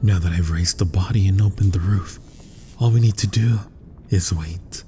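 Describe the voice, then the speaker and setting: A man playing an obsessed, grandiose mad scientist in a gothic horror ASMR roleplay, with florid, dramatic, often breathy delivery. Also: deep voice, normal speed, mellow, lightly acted. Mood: hushed anticipation. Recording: thunderstorm and rain noise. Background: rain and thunder ambience.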